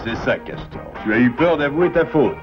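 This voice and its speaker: A man voicing a caring, firm but loving father beaver in a French cartoon, expressive and gently lecturing. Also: medium pitch, moderate pace, natural voice. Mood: tender, reproachful. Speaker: voice actor. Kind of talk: French cartoon dub acting. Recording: dull lo-fi cartoon soundtrack, music behind.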